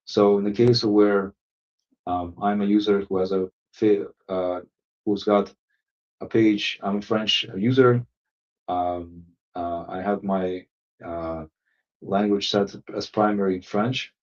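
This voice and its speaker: A man presenting a software webinar, explaining settings step by step with many fillers and restarts. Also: fairly low pitch, steady tone, slow pace. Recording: distant, muffled lo-fi audio.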